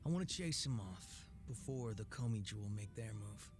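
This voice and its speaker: An actor playing a laid-back, sly gangster boss with a soft heart in a video game, speaking in a casual, teasing drawl. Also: male voice, lowish voice, animated, normal speed, music in the background, natural voice. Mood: casual, wry. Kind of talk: video game cutscene acting.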